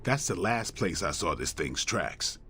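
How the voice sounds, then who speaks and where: Tough monster hunter for a game: low, sardonic, curt, with expressive swings in pitch. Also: male voice, measured pace, husky, full character voice. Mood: brusque, wry. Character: brusque, dogged male monster hunter, tough. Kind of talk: video game cutscene acting.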